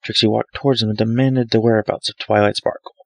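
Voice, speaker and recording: A man's voice: flat delivery, fast, creaky, dry recording. A man reading a fan fiction story aloud as a dramatic scripted narration. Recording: low-grade home microphone, music bed. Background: soft dramatic background music.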